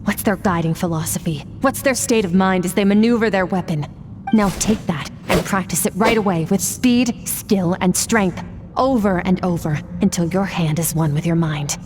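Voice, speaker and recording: A woman acts an aloof young swordmistress from an anime game. Her voice is on the low side, cool, measured and commanding, with music clearly audible behind it. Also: lightly acted. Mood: stern, focused. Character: stern, aloof young female sword master training a pupil. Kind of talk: anime video game voice acting.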